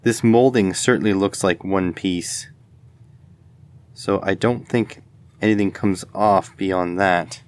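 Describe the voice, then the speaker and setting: Low-pitched man thinking aloud. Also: fairly even intonation, slow pace. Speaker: host of an electronics video channel. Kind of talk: casual hands-on teardown commentary.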